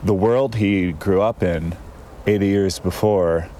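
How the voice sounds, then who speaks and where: Low-voiced man telling stories, unhurried. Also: dark tone, slight background noise. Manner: thoughtful, digressive storyteller with frequent fillers. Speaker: guest. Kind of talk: casual conversation. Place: outdoors in a town.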